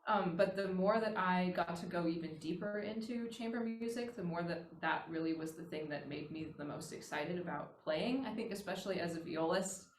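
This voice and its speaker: A woman's voice: lowish voice, moderate pace, few pauses. A young adult woman with an American accent, answering interview questions conversationally as a music student. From Wisconsin, United States. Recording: echoey room, clear background noise.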